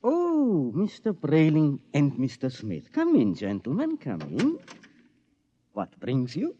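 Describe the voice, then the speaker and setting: A man's voice: on the high side, wildly swooping pitch, slow, dark tone, dull audio, slight background noise, heavily acted. An actor playing a fussy, flustered older male toymaker in a 1950s radio play. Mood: genial. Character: fussy elderly male toymaker, secretly a machine.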